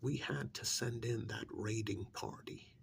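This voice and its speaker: A man playing a stern, secretive government handler in an ASMR role-play, speaking softly in a pointed, reprimanding, deliberate way. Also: lowish voice, slight background noise. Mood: stern, scolding. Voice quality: breathy near-whisper with gravelly creak. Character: stern, secretive male government handler scolding an undercover agent.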